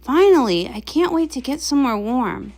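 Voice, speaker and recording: A woman's voice: sits high, lively intonation, normal speed, a little mellow. A woman voicing a kindly, practical, plucky travelling healer pony in a comic read-along. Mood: eager.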